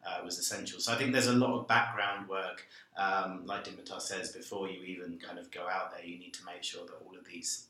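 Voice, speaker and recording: Lowish bright man, echoey hall. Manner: rambling, repeats "I mean" and "um". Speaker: panel guest, tech hiring. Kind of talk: panel discussion. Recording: very echoey room.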